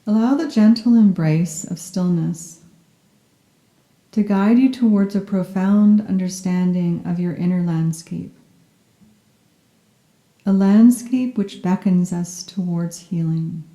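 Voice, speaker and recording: A soft-spoken woman guiding a yoga nidra meditation in a gentle, soothing and unhurried manner. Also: lowish voice, echoey room, poor audio. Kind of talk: guided meditation, scripted narration.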